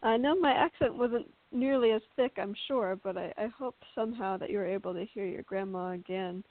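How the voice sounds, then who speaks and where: A woman's voice: medium pitch, a little fast. A woman chatting casually as an actress being interviewed. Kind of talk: casual chat. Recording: thin, dry phone-like call audio.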